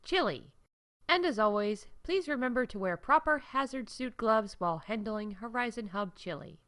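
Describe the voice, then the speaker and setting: A woman playing an upbeat theme park announcer in space, reading scripted comedic announcements in a deadpan corporate manner with emphatic asides. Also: fairly high pitch, normal speed.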